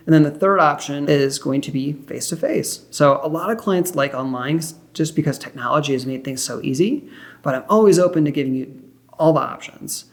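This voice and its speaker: A young man talks a client through mortgage choices in a cheerful, encouraging tone, dropping in quick affirmations as he goes. His voice sits slightly high and has a gravelly edge. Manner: upbeat, reassuring, explains step by step; frequent affirmations like perfect and great. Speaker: mortgage loan officer. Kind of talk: one-sided mock phone consultation.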